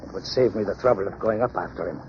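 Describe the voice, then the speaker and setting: An actor playing a boastful, murderous doctor, melodramatic and taunting, in a 1930s radio drama. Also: male voice, lowish voice, quick pace, lightly acted. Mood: cold gloating. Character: villainous doctor, boastful, cold, murderous male scientist. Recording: muffled, noisy 1930s radio recording.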